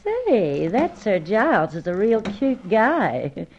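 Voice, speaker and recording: A brash, coquettish woman of an old radio serial, teasing and wisecracking in slangy talk. Her pitch swings in a lively, animated way. The recording is dull and band-limited. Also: slow pace, a little hiss or hum. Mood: flirtatious. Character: flirtatious, brash, wisecracking woman pursuing a secret agent.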